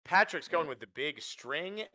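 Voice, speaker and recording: A man's voice: on the high side, animated, unhurried, crowd noise. A man giving live play-by-play commentary on a card game tournament, analytical and often restarting his phrases.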